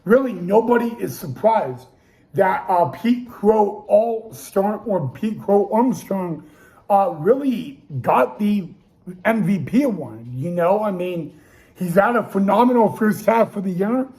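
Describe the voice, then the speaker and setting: A man's voice: sits high, darkish tone, poor audio. A young man hosting a casual sports talk show, losing his voice and restarting often with stammered repeats. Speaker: podcast host.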